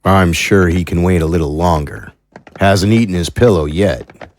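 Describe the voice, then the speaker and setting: An actor playing a gruff, curt, mocking prison guard in an audio drama, speaking in threats. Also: male voice, low-pitched, normal speed, a little mellow, slight background noise, lightly acted. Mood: threatening.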